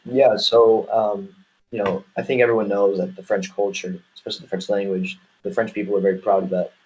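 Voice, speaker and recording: A man's voice: fairly low pitch, little pitch movement, brisk, a little mellow, lo-fi sound, a little hiss or hum. An American man speaking casually as an interview guest, explaining things in a rambling way peppered with "you know". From United States.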